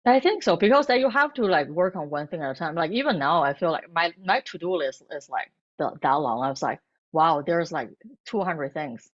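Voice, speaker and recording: A woman whose first language is Chinese, a cookbook author talking about her work in a halting way with many restarts. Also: low-pitched, expressive, muffled recording. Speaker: cookbook author and food blogger. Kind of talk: bookstore author talk.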